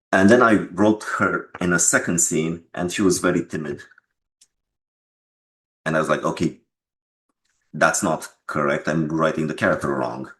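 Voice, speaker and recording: A man's voice: a bass voice, fairly bright tone. A man being interviewed as a game developer, talking casually and reflectively about his creative work.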